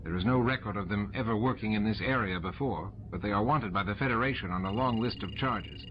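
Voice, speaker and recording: A practical male chief engineer in a science fiction audiobook, played by a man reader. He explains technical matters briskly and plainly. The sound is lo-fi, with noise behind it. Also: lowish voice, close to an everyday voice. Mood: explaining.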